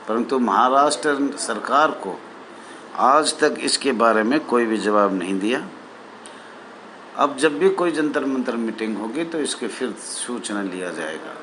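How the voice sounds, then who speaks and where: A man addressing association members as their leader, deliberate and repetitive, in formal official phrasing. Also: medium pitch, fairly bright tone, slight background noise, poor audio.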